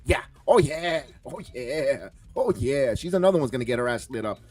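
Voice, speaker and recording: A man's voice: medium pitch, animated, normal speed. A man hosting a wrestling podcast, ranting heatedly with many stumbling restarts and curses. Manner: fiery, stumbling repeats, swears. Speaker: podcast host.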